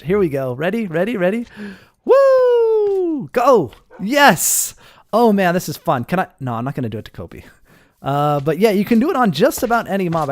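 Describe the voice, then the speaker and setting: A man hosting a gaming video with casual, playful, excitable roleplay commentary. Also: high voice, no echo, clean studio sound.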